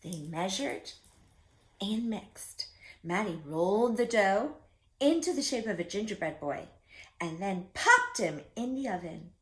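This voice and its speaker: A woman reads a children's story aloud at an unhurried pace. Her mid-range voice rises and falls a great deal, and she is expressive, speaking straight to her young listeners. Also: mid-range voice. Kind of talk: read-aloud for preschoolers.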